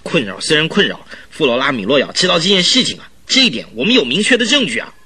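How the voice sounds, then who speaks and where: An animated, bright-voiced, self-satisfied detective chief. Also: male voice, on the high side, slightly rough, full character voice. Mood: earnest, insistent. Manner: animated, exclamatory, drawn-out particles. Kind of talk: Chinese radio drama acting.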